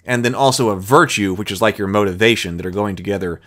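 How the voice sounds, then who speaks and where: Man, low-pitched, middle-aged, reads rules then muses aloud, channel host. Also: fast, fairly bright tone. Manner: thinks aloud between read passages. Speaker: host reviewing a tabletop game rulebook. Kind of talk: reading rules aloud with commentary.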